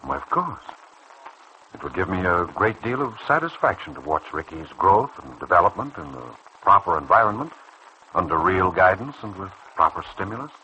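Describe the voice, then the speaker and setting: A man playing a kindly, principled senior doctor in a mid-century radio soap opera, speaking in a measured, earnest way. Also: deep voice, very animated, sharp tone, heavily acted. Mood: earnest, concerned. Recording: faintly noisy old radio recording.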